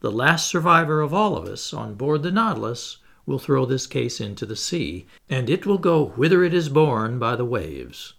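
A stern and secretive sea captain, as a man reading aloud puts him on, rough and ironic in speech. His voice is gravelly, with lively pitch. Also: normal speed, hardly any pauses, heavily acted. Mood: solemn.

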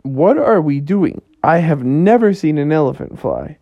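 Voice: medium pitch, expressive, a little slow, no echo, highs cut off. A storyteller voicing a boastful male frog who calls himself a fearsome wrestling champion, in a bedtime story told to a child. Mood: awed. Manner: swaggering, bragging.

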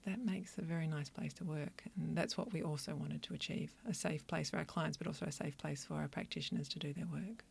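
Low-voiced woman with gravelly fry. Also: steady tone, brisk, hardly any pauses. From Australia, country Victoria. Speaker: Chinese medicine practitioner and clinic co-founder. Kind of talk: reflective interview answers.

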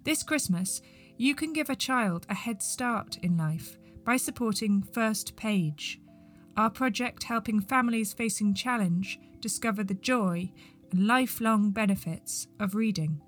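A woman's voice: mid-range voice, unhurried, faint music behind. A woman reading a scripted podcast introduction and announcements as the host.

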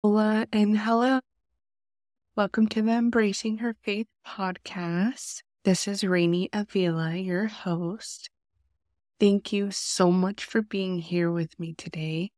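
A woman's voice: mid-range voice, little pitch movement, no echo. A young woman hosting a faith podcast, sounding nasal and congested from a cold, talking earnestly into a close podcast mic. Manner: soft, unhurried, earnest. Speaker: podcast host. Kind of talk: faith podcast talk and prayer.

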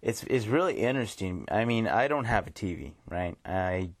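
Male podcast host, lowish pitch, dry sound, unscripted laid-back chat that wanders. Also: animated, normal speed, dry recording.

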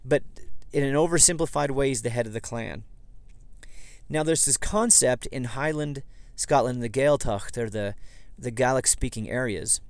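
A man with a slightly froggy, hoarse voice hosts a casual podcast, rambling and correcting himself as he goes. His pitch sits in the middle range. He records inside a vehicle, and the sound is close and dry. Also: moderate pace, no room sound. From southeast Idaho, USA. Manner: rambling, self-correcting, conversational. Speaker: podcast host. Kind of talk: casual history podcast monologue.

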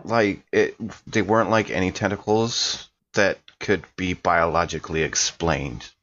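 A man's voice: low-pitched, steady tone, a little slow, a bit bright. A man playing a tabletop game, chatting casually in character, on a slightly dull recording.

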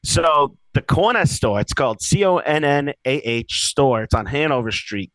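A man chatting on a podcast, telling stories with a loose, rambling manner that keeps circling back. His voice sits at a mid pitch. The sound is dry and close, with no room echo. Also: a bit bright. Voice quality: rambling, with frequent restarts and asides. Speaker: podcast co-host; comedian. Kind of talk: casual chat. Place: lives in Boston.

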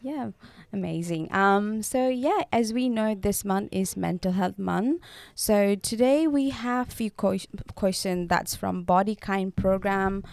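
A young woman from Nepal co-hosts a casual podcast, chatting with plenty of fillers and restarts. Her voice is gravelly yet smooth. The sound is dry and close. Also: mid-range voice, takes it slowly, darkish tone, dry recording. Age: young adult. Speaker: podcast co-host. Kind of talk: casual chat.

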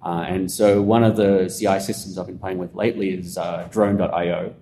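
Australian man, low voice, conversational guest who rambles with fillers; echoey room, faint rumble. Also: fairly quick. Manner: relaxed, rambling explainer with many fillers. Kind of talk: casual conversational interview. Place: conference venue.

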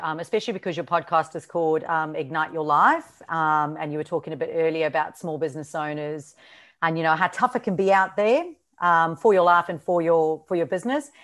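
South african lady with a bit of aussie in her accent, chatty, lots of ums and laughing, podcast guest. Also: on the low side. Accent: South African mixed with Australian. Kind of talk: casual interview chat.

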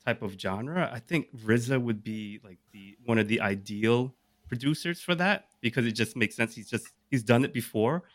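A man's voice: mid-range voice, normal speed, dry recording. A man co-hosting a podcast, talking casually and enthusiastically through his picks, often throwing out rhetorical questions. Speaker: podcast co-host. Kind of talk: casual chat, pitching a list of picks to the group.

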